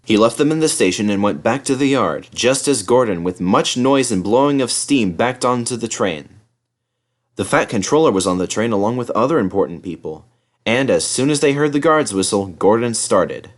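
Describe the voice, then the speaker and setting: Male storybook narrator for children, mid-range voice, highly animated intonation. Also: normal speed.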